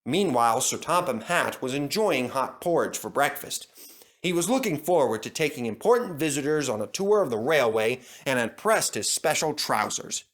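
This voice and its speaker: Male narrator for children's stories, scripted read, expressive and varied pitch. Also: moderate pace. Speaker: storyteller.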